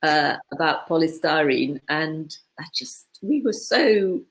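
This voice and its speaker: A woman's voice: sits low, lively intonation, slow pace. A woman in her sixties, born and raised in East Africa with Swahili as her first language, chatting as an interview guest in a wry, rambling way. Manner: chatty, wry, trails off mid-thought. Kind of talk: casual conversation.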